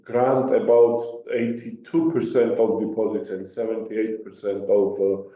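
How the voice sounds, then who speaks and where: A man presenting company results on a conference call as an executive, with a halting, hesitant delivery. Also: on the low side, flat delivery, warm tone. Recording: muffled, very echoey, distant microphone.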